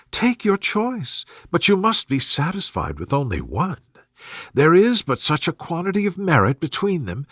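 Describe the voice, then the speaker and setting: A man reading the part of a witty, decided young gentlewoman in a storybook, teasing her sister in formal, wry phrases. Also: a little high, dry recording, clean studio sound.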